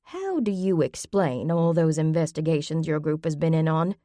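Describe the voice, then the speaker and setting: A woman's voice: low voice, lively intonation, moderate pace, mellow, dry recording, clean studio sound. A woman voicing a stubborn, feisty old grandmother with an emphatic, folksy way of talking in an audiobook. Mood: insistent. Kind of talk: audiobook character voice.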